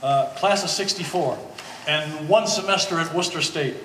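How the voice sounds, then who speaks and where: A man playing an apologetic, friendly family acquaintance with a halting manner, in a staged play reading. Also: fairly high pitch, a bit bright, recorded from a distance, lightly acted. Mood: chatty. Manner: hesitant, halting. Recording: echoey hall, faint background noise.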